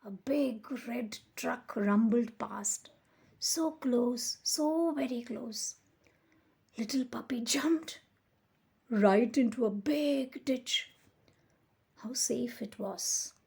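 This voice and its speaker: A woman reading a children's picture book aloud as a storyteller, with expressive, deliberate emphasis. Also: a little high, creaky, breathy edge.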